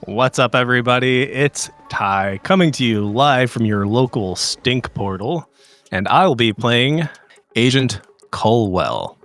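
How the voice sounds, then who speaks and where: A man's voice: medium pitch, lively intonation, measured pace, fairly bright tone. A man talking casually as a player on a tabletop game podcast, trading banter with friends. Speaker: player on an actual-play podcast.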